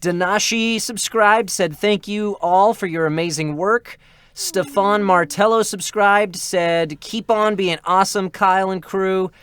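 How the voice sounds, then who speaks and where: A man's voice: sits high, fairly even intonation, unhurried, a bit bright, smooth. A man hosting a casual livestream, reading out subscriber messages and thanking viewers. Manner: appreciative, thanks viewers often. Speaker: livestream host. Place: indoors in an air-conditioned room.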